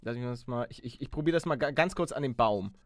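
A man in casual gameplay chat, talking excitably and often repeating or stammering over his phrases. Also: medium pitch, fast talker, dry recording. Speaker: gaming video player.

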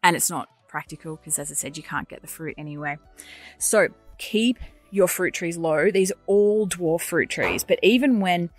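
A woman's voice: lowish voice, normal speed, soft background music. A woman giving a casual, chatty walk-and-talk garden tour outdoors, offering practical advice as she goes. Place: outdoors in a large netted garden run.

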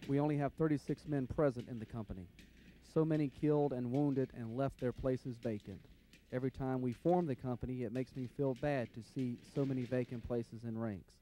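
A man's voice: mid-range voice, fairly even intonation, fairly quick, warm tone, slight background noise, lightly acted. Man reading as a muffled, sorrowful young Civil War soldier. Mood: somber, grieving. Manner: somber, reflective. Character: sorrowful young Confederate soldier writing home. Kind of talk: dramatic reading of wartime letter.

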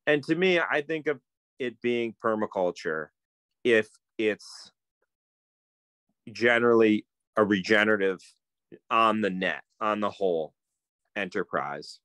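Low-voiced man musing on a video call. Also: lively intonation, a little slow, long pauses, fairly bright tone, no room sound. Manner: thoughtful, meandering, many fillers and restarts. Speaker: guest, permaculturist. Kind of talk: casual video-call conversation. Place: home room with bookshelves, indoors. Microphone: phone video call, close up.

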